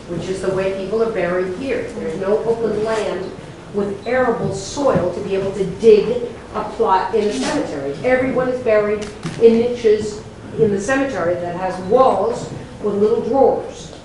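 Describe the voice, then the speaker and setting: A woman's voice: medium pitch, normal speed, background noise. A woman from Connecticut with an American accent, chatting her way through a guided tour as the group's guide. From Connecticut, USA. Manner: chatty, friendly, jokey.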